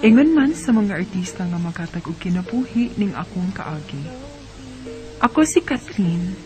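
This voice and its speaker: A woman's voice: lowish voice, animated, normal speed, natural voice. An actress playing a young female student who tells her love story in a Filipino radio drama, with a Bisaya accent. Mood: earnest, formal. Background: music.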